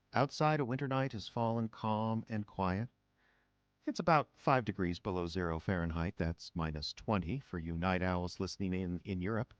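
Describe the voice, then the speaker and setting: Low-voiced man announcing radio theater. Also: no room sound, muffled recording. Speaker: host and announcer. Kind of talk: scripted radio drama introduction. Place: small indoor rooms used as studio.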